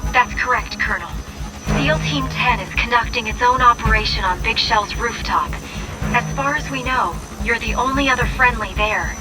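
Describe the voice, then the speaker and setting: An actress playing a young, precise military analyst who gives informative mission briefings in a video game. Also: female voice, a little high, moderate pace, loud music behind the voice, lightly acted, poor audio. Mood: businesslike. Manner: informative, articulate.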